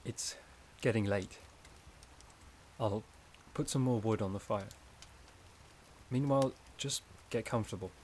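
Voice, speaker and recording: A man playing a gentle, shy, caring forest companion in a soft-spoken ASMR roleplay, with a hesitant, slightly stammering delivery. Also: on the low side, a little slow, long pauses, slight background noise, lightly acted. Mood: soothing, cozy.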